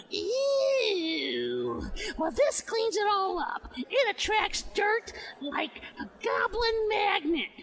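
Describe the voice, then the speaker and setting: A woman voicing a manic, wisecracking goblin salesperson in an exaggerated, giggly pitch style for a comedy skit. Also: vocal fry, fairly bright tone, full character voice. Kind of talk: comedy skit in a roleplay podcast.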